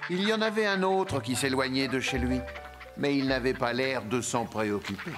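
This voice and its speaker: A man's voice: mid-range voice, moderate pace, loud music behind the voice. A man reading scripted narration for a children's cartoon.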